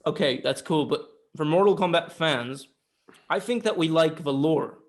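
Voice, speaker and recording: A man's voice: fairly high pitch, normal speed. A man co-hosting a casual film discussion, argumentative and emphatic, with some casual swearing. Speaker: podcast co-host reviewing films.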